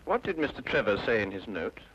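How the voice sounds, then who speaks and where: A man's voice: lowish voice, very animated, brisk, fairly bright tone, a little hiss or hum. A man playing an urbane, courteous British detective and narrator in an old radio drama. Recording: dull old radio recording, music behind.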